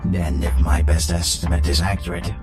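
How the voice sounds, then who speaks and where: A grave robot professor acted by a man speaks with formal courtesy. The voice is deep and completely even, precise in wording, echoing over music. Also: moderate pace, close to an everyday voice. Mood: grave, measured. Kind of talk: dramatic fan audio drama acting. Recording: echoey, with music behind.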